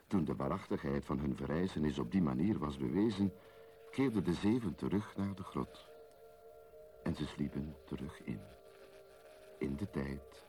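An actor playing a solemn storyteller of a mystical legend, narrating poetically and speaking straight to the listener in a radio play. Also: male voice, low-pitched, warm tone, slight background noise. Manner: solemn, incantatory, addressing the listener directly.

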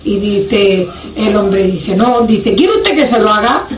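A woman tells a folk anecdote in an earthy, animated, colloquial way. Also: mid-range voice, takes it slowly. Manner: earthy, animated retelling with repeated reported speech. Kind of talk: casual oral anecdote, colloquial. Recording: muffled, roomy, distant mic, faint noise.

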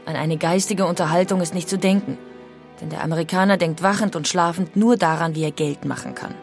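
A woman's voice: on the low side, fairly even intonation, a little fast, faint music behind, close to an everyday voice. An actress playing an outspoken, critical emigrant woman of the 1800s, reading her letters home in a formal way. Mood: bitter, warning. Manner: formal, opinionated. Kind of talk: dramatic reading of historical letters.

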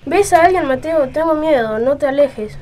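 A woman's voice: sits high, lively intonation, normal speed, warm tone, slight background noise. A woman with an Argentine accent playing a romantic, fearful young woman in an amateur radio drama. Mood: terrified.

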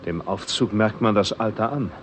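A man's voice: fairly low pitch, moderate pace, natural voice. An actor playing a wry, sceptical, understated male visitor in a 1960s German radio drama. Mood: wry, defiant. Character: dry, sceptical male visitor from abroad, wryly unflappable. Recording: noisy vintage radio drama recording.

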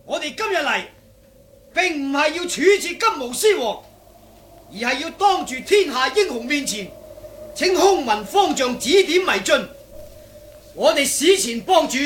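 A man's voice: extremely high pitch, a bit bright, slight background noise, exaggerated voice. A man with a Cantonese accent playing an aggrieved, accusing beggar clan elder, declamatory and indignant, in a martial arts radio drama.

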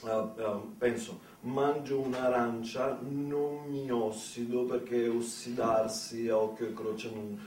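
Slow, wry man in an echoey room. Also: mid-range voice, fairly even intonation, darkish tone, a little hiss or hum. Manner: hesitant, conversational, wry. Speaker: solo stage performer. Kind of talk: theatrical comic monologue. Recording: roomy, distant stage pickup.

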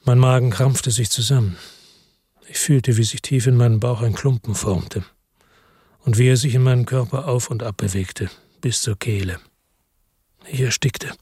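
A man's voice: medium pitch, fairly even intonation, moderate pace, darkish tone, breathy edge, dry recording, clean studio sound. A man narrating a radio drama in the first person, brooding and introspective.

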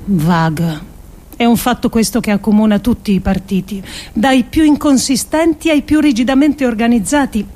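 Slow, deliberate woman reading dramatically. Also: on the high side, mellow. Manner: deliberate, emphatic delivery with dramatic pauses. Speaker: actress reading adapted essay. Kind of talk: theatrical dramatic monologue reading for radio.

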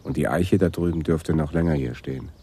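An actor playing an observant, nature-loving police sergeant from Amsterdam in a German radio drama. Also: male voice, rumbling low, fairly even intonation, brisk, dark tone, husky, heavily acted. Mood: admiring.

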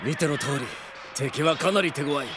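An actor playing a stern knight captain with formal, commanding military speech in a radio drama over music. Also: male voice, fairly high pitch, moderate pace, noisy background, lightly acted. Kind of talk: Japanese radio drama acting. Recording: loud music behind.

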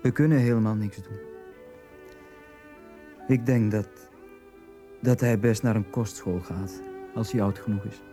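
A man's voice: lowish voice, steady tone, unhurried, pauses often, warm tone, soft background music, close to an everyday voice. Actor playing a reserved Flemish aristocratic father. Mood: calm, resigned. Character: stern, reserved small aristocratic father and tender husband. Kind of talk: radio drama acting.

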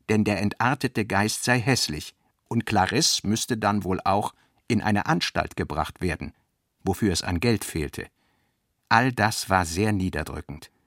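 A man narrating literary prose for a radio play in a measured, deliberate manner. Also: lowish voice, a bit bright, no echo, studio quality. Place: dry studio.

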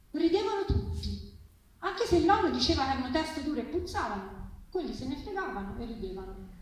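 A woman giving an expressive live reading of a literary story to a festival audience. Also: a little high. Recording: very echoey, distant, faint noise.

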